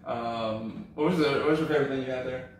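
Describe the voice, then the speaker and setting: A relaxed, chatty man hosts a casual beer tasting and fills his talk with ums and uhs. His voice sits at an ordinary pitch. It comes from some distance off the mic, in a very echoey room with a faint hum behind it. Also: fast talker. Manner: relaxed, chatty, many fillers. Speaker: comedy show host. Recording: roomy echo, from a distance.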